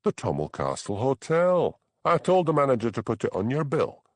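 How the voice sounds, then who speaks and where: A man's voice: mid-range voice, wildly swooping pitch, normal speed, no room sound, pitched above the performer's own voice. Man acting a foxy, brash Scottish detective. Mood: brash, teasing.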